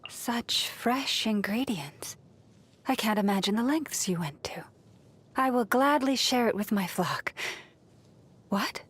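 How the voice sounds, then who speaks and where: An actress voicing a gentle, devout young moon priestess in a video game, speaking softly and reflectively with a breathy fall at the ends of phrases. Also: female voice, fairly high pitch, expressive, takes it slowly, pauses often, exaggerated voice. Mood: mild, grateful. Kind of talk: scripted video game character voice lines.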